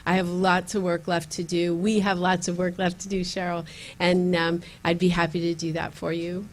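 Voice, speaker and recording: A woman with a slightly low voice speaks to a community meeting in an earnest tone. She pauses on ums and uhs and restarts her sentences. The sound is a plain meeting-room recording. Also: little pitch movement, slight background noise. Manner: earnest, with frequent fillers and restarts. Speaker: county legislator. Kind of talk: campaign speech at community meeting.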